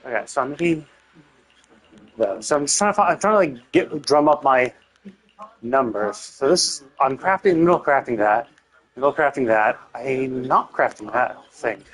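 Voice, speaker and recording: A man's voice: mid-range voice, lively intonation, moderate pace, fairly bright tone, low-quality recording. A man doing casual live game commentary, thinking aloud in a rambling way and swearing casually.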